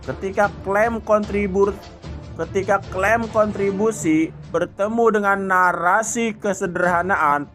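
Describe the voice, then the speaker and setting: Slow, measured male narrator. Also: high-pitched, steady tone, fairly bright tone. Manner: measured, deliberate delivery. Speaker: political commentary narrator. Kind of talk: scripted narration. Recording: faint background music.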